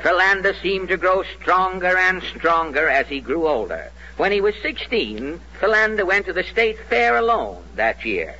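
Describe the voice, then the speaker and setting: Bright, declamatory American radio narrator. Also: male voice, high voice, very animated, moderate pace, hardly any pauses, a little hiss or hum. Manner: mock-solemn, declamatory. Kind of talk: 1940s scripted comic narration. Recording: dull old radio broadcast.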